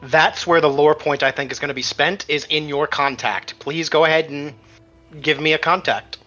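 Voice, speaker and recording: A man with a bright, somewhat high voice acts as game master, talking players through the setting in a conversational, think-aloud way. The audio is a little dull, with faint music underneath. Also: moderate pace. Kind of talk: casual explaining of game world lore.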